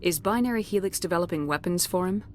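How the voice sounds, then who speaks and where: A woman's voice: lowish voice, fast talker, a little mellow, a little hiss or hum, natural voice. A woman playing a direct, probing military commander in a video game cutscene. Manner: direct, businesslike questioning.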